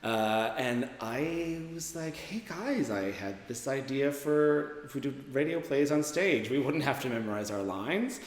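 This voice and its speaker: A man's voice: medium pitch, expressive, a little slow, hardly any pauses. A man talking casually in an interview as a performer and writer. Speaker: radio drama writer and performer. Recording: echoey, reverberant hall.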